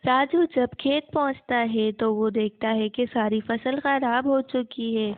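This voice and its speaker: A woman's voice: on the high side, steady tone, measured pace, no echo. A woman narrating a scripted children's moral story as the storyteller. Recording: muffled, narrow phone-like sound.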